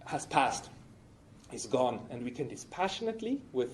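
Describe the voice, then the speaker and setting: A man's voice: mid-range voice, sing-song, a little slow, rough recording. A man giving a public academic lecture at a university, explaining his ideas to listeners. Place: university talk in Tokyo.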